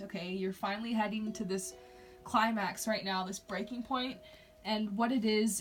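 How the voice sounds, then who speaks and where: A young woman gives an intuitive reading in a relaxed, rambling way, scattering fillers as she goes. Her mid-range voice has a slight rasp from talking all day without water. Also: mid-range voice. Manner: chatty, lots of "like" and "um" fillers. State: slightly raspy, short of water. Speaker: clairvoyant reader. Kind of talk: casual one-on-one tarot-style reading.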